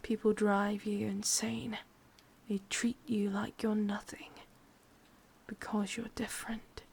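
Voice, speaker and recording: Woman doing brooding evil witch for asmr roleplay, english accent, slow breathy whisper with some creak. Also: medium pitch, flat delivery, slight background noise. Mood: wounded, bitter. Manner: slow, hushed, deliberate. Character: sadistic, brooding female witch, bitter and obsessive.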